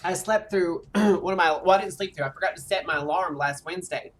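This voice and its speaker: A man in his thirties with a light, high voice, telling stories in animated, chatty gameplay talk. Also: a little fast. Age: 30s. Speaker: streamer. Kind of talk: casual gameplay chat. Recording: voice a bit off-mic with faint game music.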